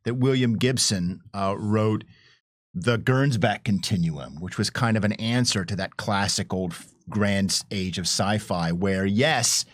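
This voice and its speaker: A middle-aged man reminiscing casually as a panel guest, enthusiastic and colloquial, in halting phrases. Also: lowish voice, no echo, studio quality.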